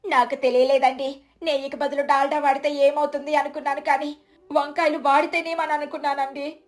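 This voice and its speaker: High, plaintive village wife played by a woman. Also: flat delivery, fairly quick, close to an everyday voice. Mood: remorseful. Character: stingy village wife, deferential, excuse-making, plaintive. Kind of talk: dramatised Telugu moral story acting.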